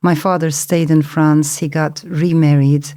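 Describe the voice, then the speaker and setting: A woman's voice: deep voice, flat delivery, measured pace, warm tone. A middle-aged woman novelist from Turkey, a native Turkish speaker, answering interview questions in a thoughtful, searching way with fillers and restarts. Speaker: novelist guest.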